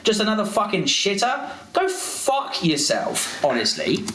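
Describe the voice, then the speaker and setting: A man's voice: high voice, wildly swooping pitch, a little slow, a bit bright. Exasperated, sweary man in an echoey room. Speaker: livestreamer. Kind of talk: heated livestream rant.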